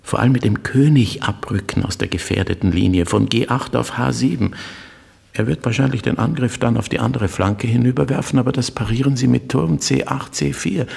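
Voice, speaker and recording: A man's voice: medium pitch, fairly quick, gravelly, darkish tone, slightly rough, big-room sound. A man playing a courteous, agitated middle-aged stranger in a radio drama, speaking with urgent precision. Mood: urgent, calculating. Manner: urgent, precise, formally polite. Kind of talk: literary radio drama acting.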